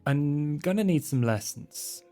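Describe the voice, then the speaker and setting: A man's voice: on the high side, expressive, a little slow, warm tone, faint music behind. A man playing a casual young fledgling vampire, slangy and offhand, with hesitant phrasing. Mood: sincere, uneasy.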